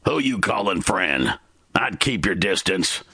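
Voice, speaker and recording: A man doing a threatening Western gunman, barking, bright tone, dry sound. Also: low voice, very animated, unhurried, husky, no echo, voice pushed up, heavily acted. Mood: wary. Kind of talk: storytelling.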